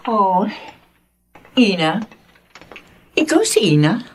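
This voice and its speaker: Middle-aged woman, Filipino accent, genteel emotional mother, very expressive intonation, radio drama. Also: mid-range voice, very slow, slightly rough, full character voice. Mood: excited, polished. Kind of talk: Tagalog radio drama acting.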